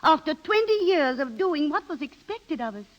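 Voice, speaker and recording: An actress playing an eager, well-to-do wife who is brisk and coaxing, in 1930s radio drama style. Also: female voice, sits high, sing-song. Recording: dull, lo-fi 1930s radio recording.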